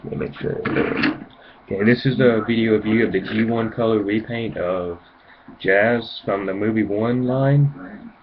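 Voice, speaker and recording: A teenage young man with a fairly low voice talks through a casual home video review. His articulation is unclear from a speech impediment, and he moves in halting, self-conscious phrases. The camera recording is muffled, with clear noise throughout. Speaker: amateur toy reviewer on a video channel.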